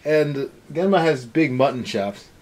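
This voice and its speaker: A man's voice: fairly high pitch, lively intonation, unhurried, darkish tone. A man co-hosting a casual anime review rant, talking in a rambling, sarcastic way. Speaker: co-host of an anime review show. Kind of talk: casual ranting review chat.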